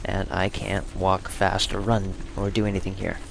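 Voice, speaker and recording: A man's voice: low voice, level tone, moderate pace, creaky, background noise. A man giving casual, meandering video game commentary with playful asides. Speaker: gaming channel host.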